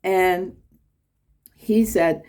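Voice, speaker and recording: A woman's voice: steady tone, drawn-out words, dark tone. An American woman telling a casual travel story in her vlog, rambling with fillers and restarts. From United States. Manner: acts out quoted people in a higher voice. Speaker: channel host.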